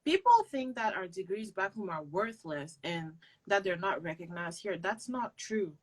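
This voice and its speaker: A woman's voice: mid-range voice, lively intonation, normal speed. A young woman from Cameroon whose first language is not English, giving earnest and direct advice as an interview guest. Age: young adult. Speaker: interview guest, PhD student.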